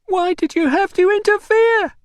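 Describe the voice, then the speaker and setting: A man's voice: very high voice, moderate pace, no echo, studio quality, voice pushed up. A male narrator voicing a distraught, tearful young enslaved mother, wailing and bitter in an audiobook story. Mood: hysterical rage. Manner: wailing, shrieking, accusatory. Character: distraught young enslaved mother, shrill, bitter, weeping over her son.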